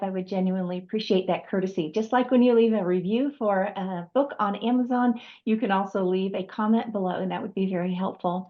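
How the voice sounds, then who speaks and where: A woman hosting a conversational interview, speaking in a polite, measured, appreciative way. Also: medium pitch, muffled recording. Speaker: host, author.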